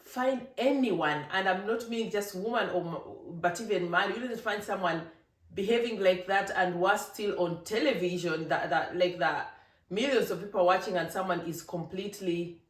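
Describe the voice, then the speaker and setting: A vlogger from Africa, giving an emphatic, animated opinion monologue with laughing asides. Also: mid-range voice, moderate pace. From East Africa. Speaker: vlogger, channel host. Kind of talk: casual opinion monologue to camera. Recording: roomy, distant from the mic.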